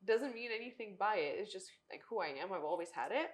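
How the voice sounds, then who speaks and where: Young russian-born woman cohosting a chat, animated storyteller, regular pitch. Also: fast. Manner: animated storyteller, acts out quotes with laughter. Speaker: podcast co-host, former cheerleader. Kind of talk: casual chat.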